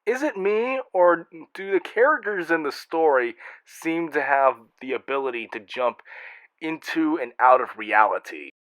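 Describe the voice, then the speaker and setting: A man's voice: on the high side, expressive, unhurried, fairly bright tone, no echo, poor audio. Wry male host with swooping, theatrical delivery. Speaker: host and narrator reading fan mail. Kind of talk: scripted comic hosting.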